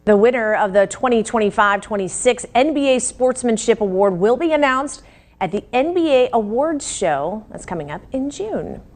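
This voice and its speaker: A woman's voice: fairly high pitch, animated, normal speed, darkish tone. An American woman reading local news as an anchor in a scripted newscast style.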